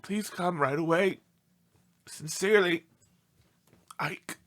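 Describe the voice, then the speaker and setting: A man's voice: high voice, slow, fairly bright tone, voice pushed up. A man voicing an indignant, self-pitying pet dog in a theatrical storybook read-aloud. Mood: wounded, pleading. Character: aggrieved melodramatic pet dog writing pleading letters home.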